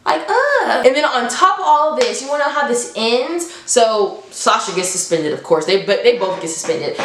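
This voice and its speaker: Casting: young woman, slightly high voice, informal storytime vlogger, emphatic and exasperated, slangy delivery, echoey room sound. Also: lively intonation, normal speed, fairly bright tone, a little hiss or hum. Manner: emphatic, exasperated, repeats phrases for effect; informal slangy speech, acts out dialogue. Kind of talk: casual animated storytelling.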